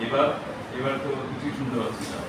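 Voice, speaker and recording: A man's voice: medium pitch, steady tone, quick pace, over a noisy crowd. A man with a West Bengal Bengali accent instructing a class on microphone use in a directive, repetitive way. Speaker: recitation workshop trainer. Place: workshop hall with microphone. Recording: echoey noisy hall, distant mic.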